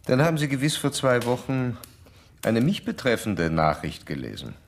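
A man acting in a radio drama as a stern, self-assured psychoanalyst who lectures in formal, old-fashioned, measured diction. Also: medium pitch, big pitch swings, vocal fry, slight background noise. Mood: smug, lecturing.

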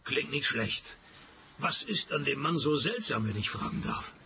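An actor playing a dry, sarcastic private detective in a radio play, speaking in a deadpan, ironic way. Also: male voice, mid-range voice, unhurried, gravelly, a little hiss or hum, full character voice. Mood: dry curiosity. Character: dry, sarcastic male private detective, unimpressed and quick-witted. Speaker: lead detective and narrator. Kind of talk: radio play dialogue and first-person narration.

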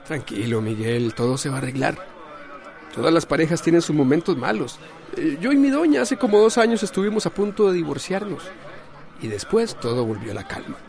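Calming Costa Rican man. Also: fairly high pitch, brisk, gravelly, some chatter behind. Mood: reassuring. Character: calming, sensible married male friend. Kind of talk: radio drama acting.

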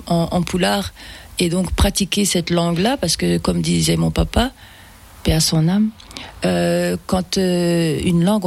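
A woman's voice: fairly low pitch, gravelly, darkish tone, dry recording. A woman singer, a native Fula speaker, talking in a casual interview and often restarting her words. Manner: hesitant, many restarts and repeated words. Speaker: singer guest.

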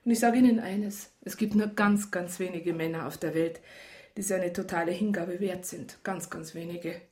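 A woman's voice: on the low side, fairly even intonation, normal speed, warm tone, close to an everyday voice. An actress playing a worldly, disillusioned woman in a radio drama, frank, dry and teasing in a natural style. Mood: frank, wistful. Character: worldly, disillusioned, flirtatious Bavarian woman, bluntly independent.

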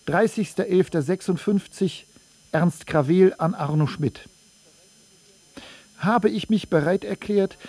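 Man, letter reading, measured, mellow tone, husky, dry sound. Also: high-pitched, no room sound. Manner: measured, matter-of-fact reading of dates and letters. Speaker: speaker reading dated letters and notes. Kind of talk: radio documentary reading. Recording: dry studio.

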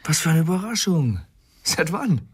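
Exuberant, animated bon vivant. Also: male voice, on the high side, normal speed, slightly rough, full character voice. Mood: teasing. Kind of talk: radio drama acting.

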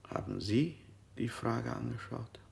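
A man's voice: medium pitch, a little slow, gravelly, darkish tone. An actor playing a cold male interrogator in a radio drama, firing unsettling questions with sudden leaps in his voice. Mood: probing.

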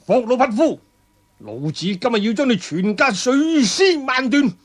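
A male storyteller with a Cantonese accent voicing a vengeful martial arts fighter, loudly declaiming threats in fury. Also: extremely high pitch, animated, unhurried, pitched above the performer's own voice, full character voice. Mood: furious.

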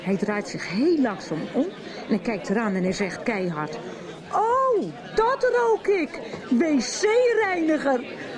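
A woman's voice: sits high, slow, full character voice. An actress in her thirties playing a droll, gossipy estranged wife confiding in a friend in a radio soap, telling it vividly. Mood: mock outrage. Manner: animated storytelling, droll. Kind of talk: Dutch radio soap acting. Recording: music and chatter behind the voice.

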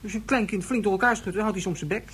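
Woman as a blunt, fast-talking child, noisy recording. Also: mid-range voice, fairly bright tone, natural voice. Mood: blunt, reassuring. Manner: blunt, casual, quick. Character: blunt, cheeky child comforting a friend. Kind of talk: radio drama acting.

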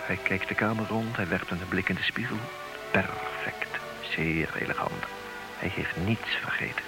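A man's voice: deep voice, moderate pace, creaky, over loud music, rough recording. A man playing a hesitant, resigned betrayed husband who stammers and repeats words, in in an old radio play. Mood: brooding. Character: hesitant, resigned husband who knows of his wife's infidelity. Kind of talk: old Dutch radio drama acting.

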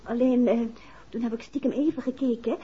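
An actress playing a playful, inquisitive detective's wife in a 1960s Dutch radio play, chatty and affectionate in manner. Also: female voice, fairly high pitch, steady tone, brisk, slight background noise, lightly acted. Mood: polite, probing. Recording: dull vintage radio recording.

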